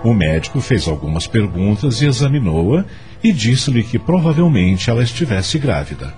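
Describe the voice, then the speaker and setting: A man's voice: fairly low pitch, sing-song, normal speed, music in the background. A man narrating a radio soap opera in scripted storytelling style.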